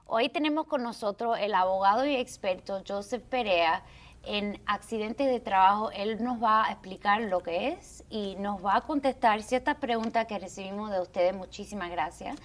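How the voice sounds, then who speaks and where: A woman's voice: mid-range voice, normal speed. Courteous woman hosting an interview. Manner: courteous and formal. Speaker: interview host. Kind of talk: hosting a call-in legal segment.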